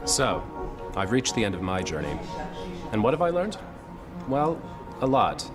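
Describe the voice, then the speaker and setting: Low-voiced man reflecting sincerely. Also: very animated, unhurried, over loud music. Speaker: documentary presenter. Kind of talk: reflective closing remarks.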